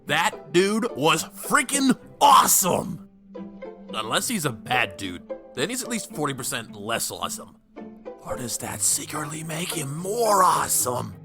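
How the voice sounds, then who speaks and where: A man voicing a goofy, excitable young ninja turtle who talks in a playful, teasing way. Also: fairly high pitch, a little slow, over music, heavily acted.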